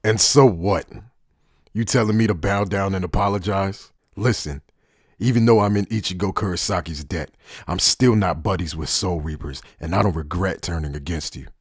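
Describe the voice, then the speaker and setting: A man playing a cynical, coolly defiant outcast in an anime fan dub, with a deep, husky, mocking delivery. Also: moderate pace, dry recording, clean studio sound. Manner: mocking, sardonic.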